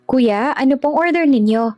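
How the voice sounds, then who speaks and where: Woman voicing a cheerful, high-pitched young porridge vendor. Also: normal speed, a little mellow, no room sound, faint music behind, close to an everyday voice. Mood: cheerful, polite. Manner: polite, upbeat, teasing.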